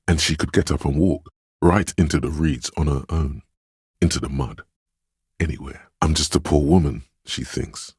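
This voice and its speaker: Very deep, husky, empathetic inner voice. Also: male voice, no echo, clean studio sound, full character voice. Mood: wistful, sorrowful. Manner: measured, intimate, weighty delivery. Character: empathetic inner voice of a troubled detective, gentle, knowing, sombre. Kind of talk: dramatic video game narration.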